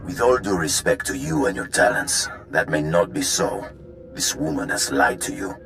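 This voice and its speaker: Deep, gravelly crime syndicate boss. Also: male voice, big pitch swings, music in the background, exaggerated voice. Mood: grave, foreboding. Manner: measured, formal. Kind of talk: video game voice acting.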